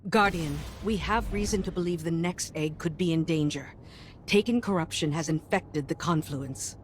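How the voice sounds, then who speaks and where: Low-voiced, guarded warrior lieutenant played by a woman. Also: moderate pace, a little hiss or hum, close to an everyday voice. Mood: urgent, businesslike. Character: loyal, embittered female warrior, the queen's lieutenant. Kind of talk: video game mission dialogue.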